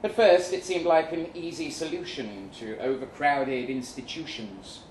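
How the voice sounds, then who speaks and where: A man reading a first-person short story aloud dramatically, with measured delivery and deliberate pauses. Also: on the high side, darkish tone. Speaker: short story reader at a live event. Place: event room in a pub. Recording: roomy, distant mic with faint noise.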